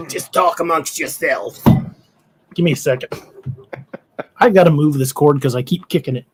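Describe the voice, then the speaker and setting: Middle-aged man, podcast host, slightly hoarse, rambling casual chat, headset mic. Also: on the high side, unhurried, a little hiss or hum. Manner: rambling, self-interrupting, laughs often. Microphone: headphones with mic on a video call.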